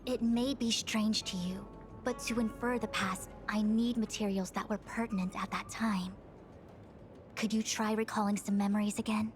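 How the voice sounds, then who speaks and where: A woman voicing a composed, learned master diviner in a video game, lecturing in formal, measured phrases. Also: medium pitch, fairly even intonation, lightly acted. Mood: composed, instructing. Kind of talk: scripted video game character dialogue. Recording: music behind the voice. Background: background music.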